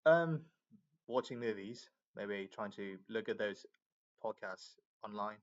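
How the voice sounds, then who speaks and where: Man, casual talkative chatter, low voice on dull lo-fi audio. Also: expressive, takes it slowly. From Myanmar. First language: Burmese. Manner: talkative, many fillers. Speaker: English learner. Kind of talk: casual video chat.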